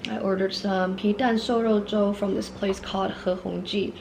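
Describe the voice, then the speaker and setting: A sick, hoarse woman speaks softly into her camera from her room at home, her scratchy voice breaking into whispers. The fry in her voice comes out more than usual. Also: flat delivery, moderate pace. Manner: quiet and low-key, slipping into whispers. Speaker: vlogger.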